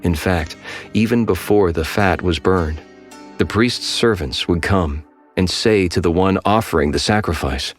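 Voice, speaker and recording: A man with a very deep voice narrates scripture in a steady, even way. Soft music runs underneath the studio recording. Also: unhurried.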